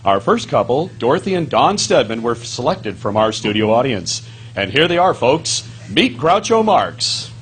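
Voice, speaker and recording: Animated old-time radio announcer. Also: male voice, mid-range voice, normal speed, a little hiss or hum. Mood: upbeat. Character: polished, booming male 1950s radio quiz announcer.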